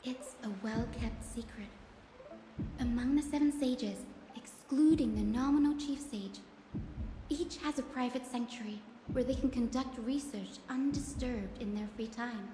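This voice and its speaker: High, echoey young woman storyteller played by an actress. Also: a little slow, mellow, a little hiss or hum, natural voice. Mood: thoughtful, confiding. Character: young woman companion recounting a heretical scholar's trial. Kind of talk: scripted game dialogue, storytelling narration. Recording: echoey reverb with music behind.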